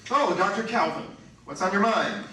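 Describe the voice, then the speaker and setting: A man playing an officious, excitable head of a robotics firm in theatrical radio style. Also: sits high, moderate pace. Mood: jovial, pompous. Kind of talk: theatrical radio play acting. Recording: very echoey room, distant mic, faint noise.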